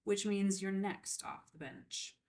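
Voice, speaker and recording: A woman reading the part of a steely, brisk boss in her late forties at a script table read. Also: medium pitch, a little mellow. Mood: brisk, bossy.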